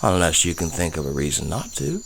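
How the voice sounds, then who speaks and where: A man playing a gruff, blunt trapper and father in a fantasy audio drama, speaking in dry, formal phrases. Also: sits low, sing-song, fairly quick, fairly bright tone, slight background noise, exaggerated voice. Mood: determined. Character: gruff father and trapper in a fantasy swamp forest, eager to act.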